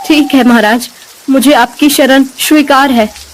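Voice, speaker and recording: A woman playing a plaintive, high-voiced young queen. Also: fairly even intonation, measured pace, slight background noise, rough recording. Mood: submissive. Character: young queen found in forest, plaintive, sly, submissive. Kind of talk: acted Hindi moral cartoon story.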